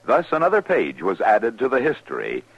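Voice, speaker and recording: A man's voice: mid-range voice, huge pitch swings, a little fast, breathy edge, dry recording. A man announcing from a script in formal, declamatory 1950s radio style. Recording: dull vintage radio recording.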